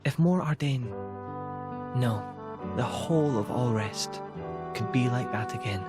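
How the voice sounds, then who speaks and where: A gracious young male emperor voiced by a man for a game cutscene, thoughtful and gently authoritative. His formal, measured voice sits in the middle range, over clear music. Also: mid-range voice, close to an everyday voice. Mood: wistful, sincere. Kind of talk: dramatic video game voice acting.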